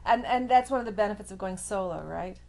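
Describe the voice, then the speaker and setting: A woman's voice: medium pitch, fast, creaky, warm tone. A woman hosting an interview over a video call, friendly and upbeat, with easy laughter. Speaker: interview host.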